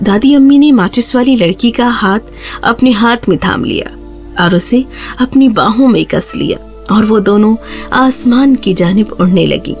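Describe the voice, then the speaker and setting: A woman's voice: on the high side, lively intonation, a little mellow, faint music behind. A woman narrator reading a scripted children's fairy tale in a storytelling style.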